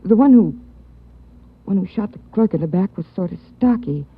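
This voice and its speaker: An actress playing a shaken young woman recalling a crime she witnessed in a 1940s radio drama, halting and stammering. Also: mid-range voice, lively intonation, breathy edge. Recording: thin phone-like 1940s radio.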